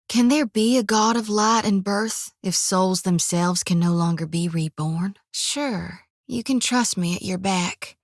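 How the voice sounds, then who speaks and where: A woman's voice: fairly low pitch, dry recording. An actress voicing a folksy, earnest young woman priest of death in a video game, with a drawl. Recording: dry studio recording.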